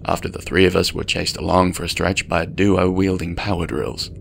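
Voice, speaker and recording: Deep, gravelly man narrating horror. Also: little pitch movement, fairly quick, a bit bright, a little hiss or hum. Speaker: storyteller. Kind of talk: scripted first-person horror story narration.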